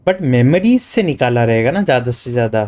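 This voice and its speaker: A man's voice: medium pitch, animated, very fast, noisy background. A man with an Indian accent giving casual commentary as he livestreams a game. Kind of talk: casual game commentary mixing Hindi and English.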